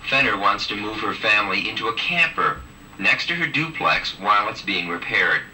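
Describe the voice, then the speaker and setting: A man's voice: lowish voice, expressive, normal speed, bright, forceful tone. A man narrating a scripted television news report as a field reporter. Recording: old videotape of TV news, distant, noisy.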